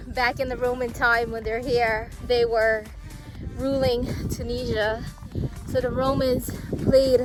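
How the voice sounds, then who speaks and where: A woman's voice: on the high side, low-quality recording. A woman chatting casually through a travel vlog, rambling with frequent fillers. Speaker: travel vlogger.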